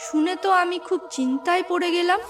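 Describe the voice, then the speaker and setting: Woman as a high-pitched worried village wife. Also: fast. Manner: anxious, plaintive. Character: worried village wife, anxious sister-in-law. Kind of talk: cartoon voice acting. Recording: slightly distant, music behind.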